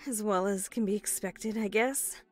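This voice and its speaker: An actress playing a bitter, defiant survivor of a killer in an audio horror drama, curt, guarded and sarcastic. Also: female voice, mid-range voice, normal speed, soft background music, close to an everyday voice.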